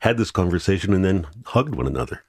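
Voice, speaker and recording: A man's voice: low voice, big pitch swings, rapid-fire, husky. A man asking questions as an interviewer.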